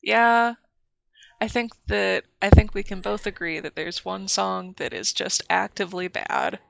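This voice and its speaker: A woman co-hosting a podcast in casual chat, a bit sick, speaking slowly and deliberately while searching for words. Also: medium pitch, creaky, dry recording. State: sick with a cold. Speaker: podcast co-host.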